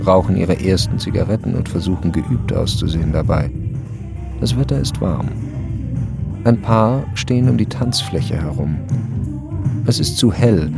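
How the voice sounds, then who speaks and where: Low, creaky male narrator over music. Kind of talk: scripted radio play narration of stage directions. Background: prominent music.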